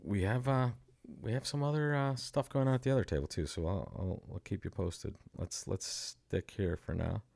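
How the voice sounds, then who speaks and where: Low-voiced joking bridge commentator. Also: male voice, normal speed, gravelly, a little mellow, dry recording. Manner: joking, chatty, self-deprecating. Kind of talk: casual live commentary.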